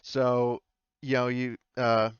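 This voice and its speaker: Casting: easygoing male game master, middle pitch, clean voice, close dry sound. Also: level tone, clean tone, no echo, lo-fi sound. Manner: halting, informal, easygoing. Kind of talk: casual improvised tabletop game narration.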